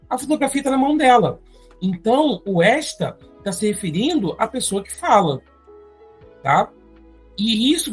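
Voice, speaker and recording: A teacher with a Brazilian Portuguese accent, going step by step through an exam-prep grammar lesson and often adding quick check-in tags. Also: mid-range voice, slightly rough. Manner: explains step by step, often adding tag questions.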